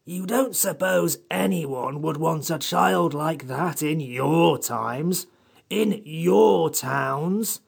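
A man voicing a haughty, complaining furry magical creature in a creaky, husky storybook character voice. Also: a little high, lively intonation, slow, voice pushed up, heavily acted. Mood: irritated, disdainful. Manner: crabby, pompous, disdainful.

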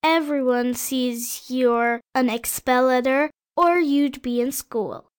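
An earnest tearful little schoolboy. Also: male voice, very high voice, slow, smooth, voice pushed up. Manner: earnest, pleading childlike voice. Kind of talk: storybook read-aloud.

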